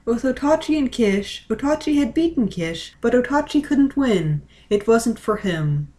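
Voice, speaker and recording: A woman reading a story aloud as dramatic, deliberate narration. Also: on the high side, lively intonation, darkish tone. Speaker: reader of a fan-fiction story.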